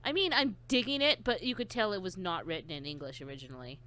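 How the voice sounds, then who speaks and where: A woman's voice: fairly low pitch, fast, sharp tone, dry recording. A woman co-hosting with casual, joking commentary in a snarky, irreverent manner. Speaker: co-host heckling the reading.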